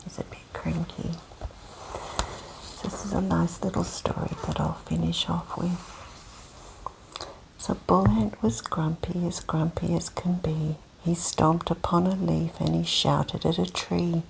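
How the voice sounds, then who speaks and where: A woman whispering softly as she reads a children's picture book aloud for an ASMR session. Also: on the low side, flat delivery, measured pace, vocal fry, noisy background. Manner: soft gentle whisper. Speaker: host. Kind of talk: ASMR whispered storybook reading.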